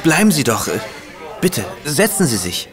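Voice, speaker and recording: Radio play sidekick: eager, awkward and hesitant, swooping mid-range delivery, light music underneath. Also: male voice, on the high side, sing-song, unhurried. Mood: friendly, proud. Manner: hesitant, stammering. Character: eager, flustered, bashful male detective's assistant. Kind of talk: German radio play acting.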